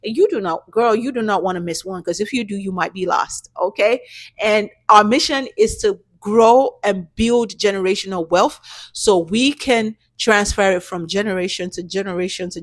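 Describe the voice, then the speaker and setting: A woman's voice: mid-range voice, moderate pace. A woman whose first language is not English hosts a channel and gives viewers casual, direct, encouraging advice. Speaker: YouTube channel host giving business grant tips. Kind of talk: casual tutorial talk to camera.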